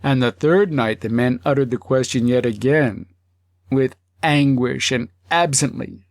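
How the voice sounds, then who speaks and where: A man narrating a humorous literary story as an audiobook, phrasing it deliberately and expressively with pauses. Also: mid-range voice, no echo, studio quality.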